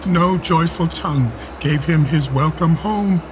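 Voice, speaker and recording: An actor playing a stern, proud elderly English duke in a Shakespeare radio play, declaiming archaic verse with a quick temper. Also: male voice, a little high, background noise, natural voice. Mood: grave, sorrowful. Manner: declamatory, measured verse delivery.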